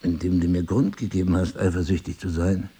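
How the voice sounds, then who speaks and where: Middle-aged husband without memory, very husky, low-key mellow tone, searching, wryly ironic, intimate radio play. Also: male voice, fairly even intonation, a little fast. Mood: probing. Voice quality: husky, gravelly. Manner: probing, hesitant, dryly self-mocking. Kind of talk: intimate audio drama dialogue.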